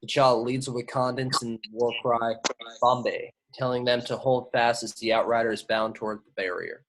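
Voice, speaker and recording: A man's voice: mid-range voice, steady tone, moderate pace, a bit bright, low-quality recording. A man reading screenplay action lines aloud as narrator in an amateur table read. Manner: stumbles on invented place names.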